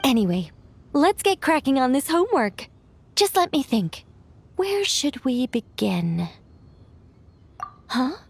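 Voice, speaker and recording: High-voiced whimsical mage. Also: female voice, big pitch swings, takes it slowly, gravelly, pauses often, slightly rough, exaggerated voice. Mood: musing, playful. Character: whimsical, composed female mage, once an angel, young-sounding. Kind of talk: video game voice acting. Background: background music.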